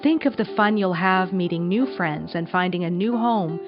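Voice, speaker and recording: Reader doing a gentle storybook mom, woman, reassuring, lowish voice with music behind. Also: moderate pace, lo-fi sound, natural voice. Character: gentle, reassuring mother of a young boy.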